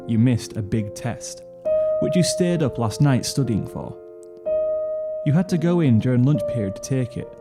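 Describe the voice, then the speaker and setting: Expressive man narrating over piano music. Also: mid-range voice. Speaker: fan fiction narrator. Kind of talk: dramatic second-person story narration.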